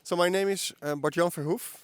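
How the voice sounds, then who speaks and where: A man's voice: high voice, fairly even intonation, fairly quick, smooth, no echo. A man in his early forties with a Dutch accent, answering casually as a guest in an interview outdoors. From the Netherlands. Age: early 40s. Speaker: podcast guest. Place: outdoors in a park.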